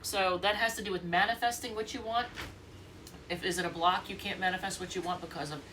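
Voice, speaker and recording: A woman's voice: medium pitch, fairly even intonation, a little fast, bright, forceful tone, far from the mic, slight background noise. A congested woman with a stuffy nose giving a casual, rambling tarot reading, thinking aloud and restarting often. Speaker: tarot reader.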